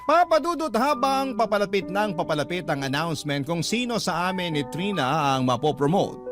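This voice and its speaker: A man narrating a scripted, sentimental first-person story as a radio storyteller. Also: on the high side. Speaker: radio storyteller reading a letter-sender's story. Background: background music.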